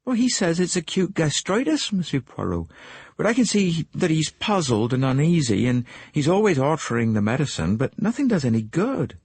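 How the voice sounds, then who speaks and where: Male narrator doing a fretful middle-aged wife, raised voice, husky, lively swings, dry sound. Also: on the high side, no room sound, voice pushed up, exaggerated voice. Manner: fretful, gossipy, quick to take offence. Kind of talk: audiobook character voice.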